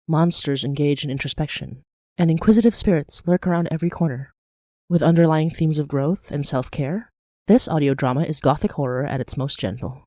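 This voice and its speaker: A podcast announcer reading a scripted promo in a measured narration style. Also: mid-range voice, darkish tone, dry recording, studio quality.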